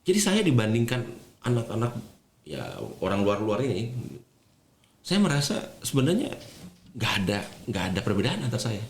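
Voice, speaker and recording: A man's voice: medium pitch, very animated, moderate pace. A man, speaking as a podcast guest, explaining things conversationally and repeating phrases for emphasis. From Indonesia. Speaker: podcast guest, regional government official. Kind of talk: conversational interview storytelling. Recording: roomy echo, faint background noise.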